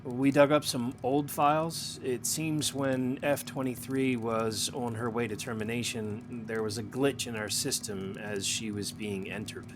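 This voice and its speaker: Guy acting as suspicious stern boss in an audio drama, quietly threatening, clipped questions, bit of hum in the background. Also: mid-range voice, fairly even intonation, measured pace, few pauses, natural voice. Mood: veiled threat. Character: stern, suspicious male superior interrogating a subordinate. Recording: noticeable background hum and noise.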